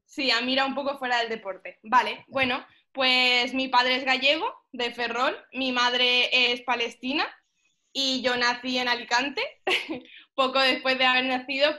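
Bright, high-voiced young woman, evenly pitched. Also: fairly even intonation, a little slow. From Middle East, mostly Egypt. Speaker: powerlifting athlete and coach, podcast guest. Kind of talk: casual interview chat.

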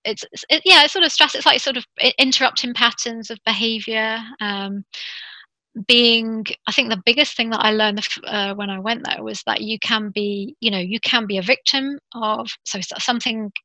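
A woman's voice: a little high, normal speed, sharp tone, no room sound, low-quality recording. A woman talking in an earnest, encouraging way, with frequent restarts and fillers. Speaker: guest. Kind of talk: casual conversation.

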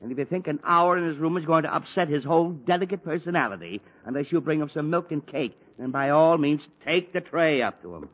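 A man's voice: fairly high pitch, animated, a little fast, few pauses. An actor playing an exasperated middle-aged father who sputters and grumbles, in 1940s radio acting. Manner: blustery, sputtering, often cut off mid-sentence. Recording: dull lo-fi 1940s radio recording.

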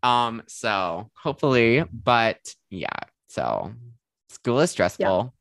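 A man's voice: mid-range voice, very animated, slow pace, vocal fry, a bit bright, no room sound. A teenage boy hosting a podcast, chatting casually and rambling with lots of "like.". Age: teens. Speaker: podcast host. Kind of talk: casual chat.

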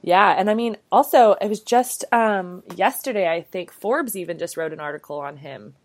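A woman's voice: mid-range voice, moderate pace. A woman in her thirties co-hosting a podcast, talking in a chatty, bubbly way with lots of casual fillers. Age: 30s. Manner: chatty, bubbly, many "like" and "you know" fillers. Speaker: podcast co-host.

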